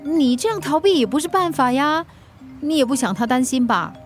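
A caring, sensible friend in a Mandarin radio drama. Her voice is high and swings animatedly up and down. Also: lively intonation, fairly quick, soft background music. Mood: concerned, reassuring. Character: caring, level-headed divorced woman, soothing friend.

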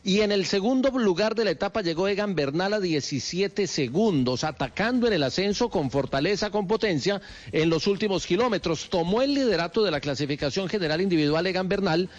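A man delivers sports news on the radio in a fairly high voice. He talks fluently but stumbles into restarts now and then. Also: lively intonation, fairly quick, dull audio.

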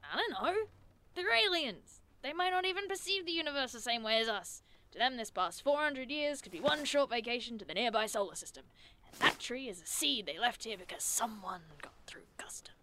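Reluctant young sidekick: highish, punchy, sarcastic audio drama griper, big swings in pitch. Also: female voice, expressive, fairly quick, bright, forceful tone, a little hiss or hum. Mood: sardonic. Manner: sarcastic, grumbling, casually sweary. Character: sardonic, excitable young woman, reluctant adventurer. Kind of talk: naturalistic audio drama dialogue.

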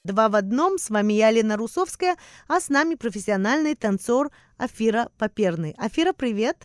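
A woman's voice: fairly high pitch, expressive, a little fast. A woman hosting a podcast in a conversational interview style. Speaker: podcast host.

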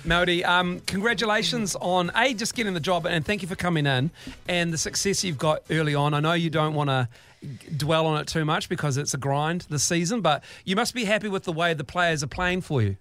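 A man's voice: a little high, fairly quick, fairly bright tone. A man co-hosting a morning radio show, upbeat and joking, who also commentates basketball. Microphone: studio microphone.

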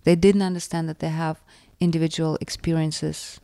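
A woman with a Russian accent speaks in a low, gravelly voice and answers interview questions in a reflective way, pausing often to find her words. The sound is dry and close, with no room echo. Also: little pitch movement, dark tone. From Soviet Union. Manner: reflective, searching for words with many fillers. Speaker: author and interviewee.

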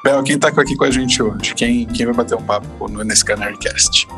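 A man hosting a podcast, opening the show and asking questions in a friendly, energetic way. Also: medium pitch, moderate pace, faint music behind. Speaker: podcast host.

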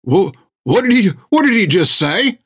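Angry, injured male sailor, played by a man narrator, high, loud, panicky. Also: sing-song, normal speed, slightly rough, no echo, studio quality, heavily acted. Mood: panicked. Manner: yelling, panicky.

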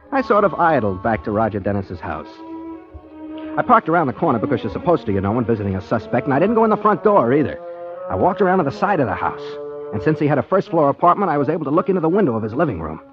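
An actor playing an easygoing, whimsical private detective, chatting and rambling wryly in a 1940s radio comedy-mystery. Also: male voice, a little high. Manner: chatty, rambling, digressive, wry. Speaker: detective hero and narrator. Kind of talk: 1940s radio comedy-mystery acting and first-person narration. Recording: muffled 1940s radio recording with music.

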